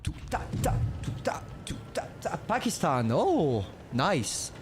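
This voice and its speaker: A man's voice: on the high side, huge pitch swings, slow, music in the background. A young man from France with a French accent, streaming gameplay and chatting casually with viewers, rambling and talking to himself. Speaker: gaming streamer. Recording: noisy, glitchy mic cutting out.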